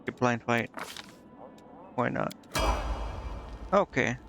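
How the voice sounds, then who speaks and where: A man's voice: mid-range voice, animated, very slow, gravelly, dull audio, over music. A man streaming a video game, thinking aloud in casual commentary and muttering indecisively to himself.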